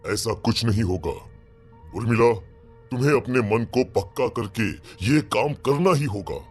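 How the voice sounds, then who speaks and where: A man's voice: mid-range voice, measured pace, slightly rough. A man playing a grave old village priest who speaks with solemn foreboding in a horror story. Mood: stern warning. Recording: background music under the voice.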